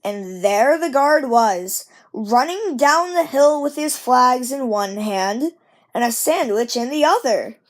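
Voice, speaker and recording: High-pitched woman reading a storybook slowly. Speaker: storybook reader. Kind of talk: storybook narration read aloud.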